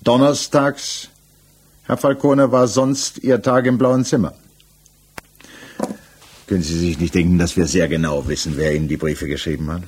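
Insistent low-voiced male interrogator. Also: moderate pace, pauses often, darkish tone, breathy edge. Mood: insistent, sceptical. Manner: formal, probing, pointed questioning. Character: insistent male examining magistrate, coolly sceptical and probing. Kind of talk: 1960s German radio play acting, interrogation dialogue.

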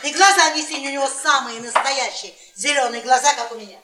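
A woman's voice: high voice, fast talker, a crowd in the background, natural voice. A woman playing a brash, taunting middle-aged wife in a theatrical radio play. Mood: goading, boastful. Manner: sarcastic, goading, theatrical. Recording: echoey stage sound, distant microphone.

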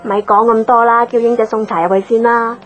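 Fast, high-pitched catty office gossip, acted by a woman. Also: level tone, lo-fi sound, close to an everyday voice. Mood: nosy, brisk. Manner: snappy, gossipy. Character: nosy, catty young female office gossip. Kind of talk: Cantonese radio drama dialogue. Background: background music.

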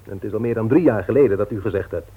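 An actor playing a frustrated young bookseller nephew in a 1960s radio drama, earnest and husky, on a dull old recording. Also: male voice, fairly low pitch, very fast. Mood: indignant.

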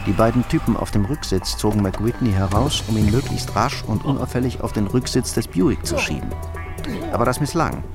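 A man with a fairly low voice narrates a crime story for a radio drama. Loud music runs underneath his scripted telling. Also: expressive, fairly quick.

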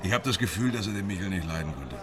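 An actor playing a sardonic, level-headed police investigator in a radio drama, talking in a dry, casual way. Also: male voice, low voice, animated, rapid-fire, music in the background. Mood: pensive. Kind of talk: radio drama, conversational dialogue.

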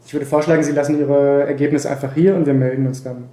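A man's voice: mid-range voice, fairly even intonation, quick pace, mellow, close to an everyday voice. A man playing a polite, evasive ministry official, hesitant and officious, in a naturalistic radio drama. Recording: roomy office sound, faint noise.

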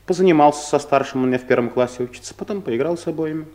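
A man's voice: a little high, rapid-fire, darkish tone, natural voice. A man playing a timid, awkward office clerk who turns stubbornly cheeky, in a comedic audio drama. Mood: boastful. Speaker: actor in a radio play.

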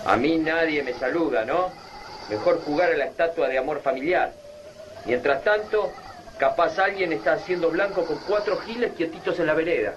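An actor playing a sarcastic, grumbling survivor with an Argentine accent in a colloquial radio drama scene. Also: male voice, fairly high pitch, moderate pace, lo-fi sound, background noise, close to an everyday voice. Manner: sardonic, colloquial. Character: sarcastic, grumbling male friend among survivors.

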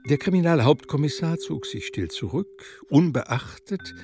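A man's voice: fairly high pitch, animated, normal speed. A man reading literary narration for a radio drama. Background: music.

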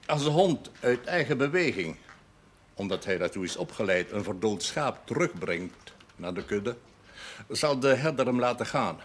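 A man in his sixties playing a stern, erudite village pastor in a period TV drama, measured, pompous and sardonic. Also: medium pitch, very animated, a little hiss or hum, lightly acted. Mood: solemn, lecturing. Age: 60s. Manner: pompous, measured, sententious, slipping into French and Latin. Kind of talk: television period drama acting.

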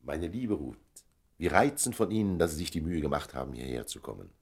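Urbane diplomatic gentleman, very low, husky, polite, lively intonation, radio drama. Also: brisk, full character voice. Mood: courteous. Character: courteous, diplomatic gentleman acting as go-between. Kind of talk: German radio play acting.

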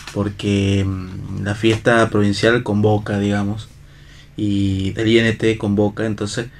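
A man with a low, gravelly voice answers in a casual interview. He has an Argentine accent and speaks haltingly, often searching for his words. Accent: Argentine Spanish. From La Rioja, Argentina. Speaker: theatre actor and director, interview guest.

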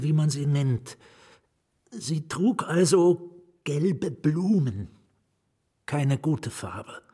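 An actor in a German radio drama playing a haunted, nameless writer who confides in a halting, dramatic way, sometimes hushed to a whisper. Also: male voice, fairly high pitch, husky, full character voice. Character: haunted nameless male writer confiding his lost love.

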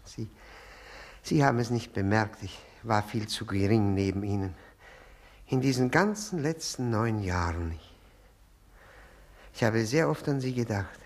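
Casting: fawning, obsessive widower for vintage radio drama; rough-edged voice, widely swinging pitch, halting and pleading. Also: male voice. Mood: abject devotion. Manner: halting, stammering, repeats words, pleading. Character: servile, self-abasing, obsessive widower who drinks. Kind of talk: 1960s German radio drama acting. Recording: 1960 radio play recording.

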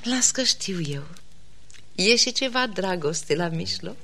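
A woman's voice: mid-range voice, animated, music in the background, natural voice. An actress playing a protective, well-bred aunt in a theatrical radio comedy, polite and knowing as she cautions. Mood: knowing, cautioning. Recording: vintage radio play, faint noise.

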